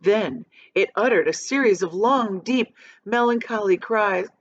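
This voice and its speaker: Female storybook reader, teacherly and deliberate, very animated pitch, slightly dull recording. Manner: deliberate, dramatic, shows pictures to listeners. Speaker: teacher reading a book aloud. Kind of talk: expressive children's story reading.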